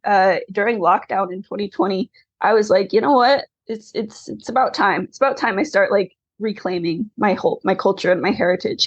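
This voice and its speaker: A woman's voice: mid-range voice, breathy edge, dry recording, muffled recording. A woman in her thirties, an author from Taiwan whose first language was Mandarin, speaking earnestly and candidly in an interview, close to tears. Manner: earnest, candid, frequent "like" fillers. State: tearful, close to crying. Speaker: guest, adoptee author. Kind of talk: emotional interview conversation.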